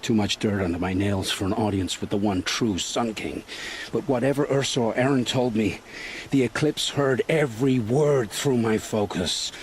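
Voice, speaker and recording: A man's voice: lowish voice, natural voice. Man acting a grim, haunted scrounger captive, noisy ambience. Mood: weary, regretful. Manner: halting, grim, folksy storytelling phrasing. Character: frightened, world-weary captive scrounger, man turned reluctant informant. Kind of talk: dramatic video game dialogue.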